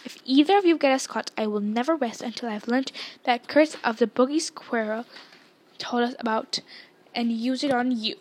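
A woman's voice: on the high side, normal speed, natural voice. A woman voicing an irritable, blunt schoolboy in a storybook, curt and grumbling. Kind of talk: dramatised storybook reading.

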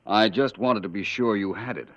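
Deep-voiced American male sleuth played by a radio actor: shrewd, courteous, measured. Muffled vintage radio sound. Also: fairly bright tone, close to an everyday voice. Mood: calm, certain. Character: composed, shrewd gentleman detective recovering in hospital. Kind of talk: 1950s radio drama acting. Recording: dull old radio recording.

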